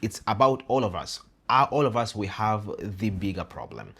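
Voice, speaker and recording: A man's voice: fairly low pitch, sing-song, moderate pace, a bit bright, breathy edge. A young man, hosting a casual interview in an earnest, emphatic way and often repeating his phrases. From Uganda. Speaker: host.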